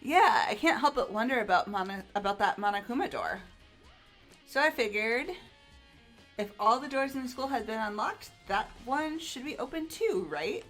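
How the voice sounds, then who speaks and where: Woman as chirpy, jittery schoolgirl sidekick for a game, high voice, slangy and casual, faint music bed. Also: lively intonation, normal speed, a bit bright. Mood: casual, hesitant. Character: Hina, bubbly, naive, nervous teenage schoolgirl. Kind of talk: acted visual novel game dialogue. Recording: music behind the voice.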